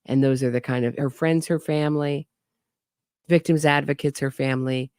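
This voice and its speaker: A woman's voice: rumbling low, steady tone, normal speed, darkish tone, smooth, no room sound, clean studio sound. A woman giving skeptical, wry commentary on a true crime case and speaking straight to her audience. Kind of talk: casual commentary over interrogation clips.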